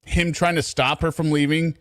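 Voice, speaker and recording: A man hosting casual true crime commentary, animated and riffing, laughing as he goes. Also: on the high side, normal speed, no echo, studio quality. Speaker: commentary host.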